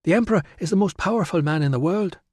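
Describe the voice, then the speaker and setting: Man voicing a high, gushing, pleading young girl. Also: quick pace, a little mellow, dry recording, clean studio sound. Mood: naive, insistent. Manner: gushing, whiny, insistent. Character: silly, pleading, excitable teenage British girl. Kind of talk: audiobook character voice.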